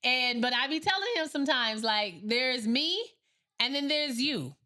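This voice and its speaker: A woman's voice: sits high, moderate pace. A woman in her late thirties co-hosting a podcast, chatting casually and telling stories with lots of quoting and laughter. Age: 30s. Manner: animated storyteller, quotes others, laughs often. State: a little sleepy. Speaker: podcast co-host. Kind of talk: casual chat.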